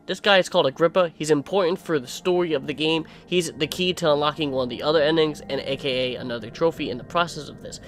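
A young man commentating on a horror game in a jokey, excitable way. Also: on the high side. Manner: jokey, excitable, swears and shouts. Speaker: gameplay commentator. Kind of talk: gameplay commentary. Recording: game music behind voice.

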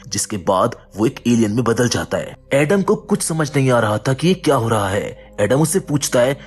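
A man's voice: medium pitch, breathy edge. A man narrating a movie's plot as a scripted voice-over. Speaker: film recap narrator.

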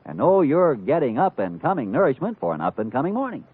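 A man's voice: medium pitch, very animated, fast talker, breathy edge, no room sound. A man delivering a punchy, emphatic vintage radio commercial in salesman style. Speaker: commercial announcer. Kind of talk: 1950s radio advertisement pitch. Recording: thin, narrow old radio recording.

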